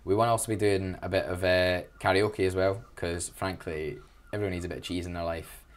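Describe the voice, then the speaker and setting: Young Glaswegian man, low-pitched, enthusiastic and chatty, joking at his own expense. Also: flat delivery, brisk. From Glasgow, Scotland. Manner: enthusiastic, chatty, self-deprecating. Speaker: student union events officer. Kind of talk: casual interview answers.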